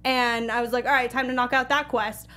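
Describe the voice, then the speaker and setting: A woman co-hosting a gaming talk show, telling casual stories in an expressive way and acting out her reactions. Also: fairly high pitch, fairly quick, faint music behind. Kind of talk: casual chat, anecdote telling.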